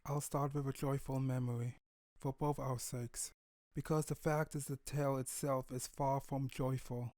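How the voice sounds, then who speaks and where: A man's voice: medium pitch, flat delivery, moderate pace, a little mellow, no echo, close to an everyday voice. A man as an earnest young pony stallion father. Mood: somber, fond. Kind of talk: dramatic story reading.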